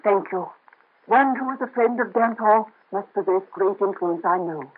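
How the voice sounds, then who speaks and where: An actress playing a young noblewoman in hiding, soft, earnest and dignified, in an old radio drama. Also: medium pitch, normal speed, husky, lightly acted. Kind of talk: 1930s radio drama acting. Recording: muffled lo-fi old radio transfer.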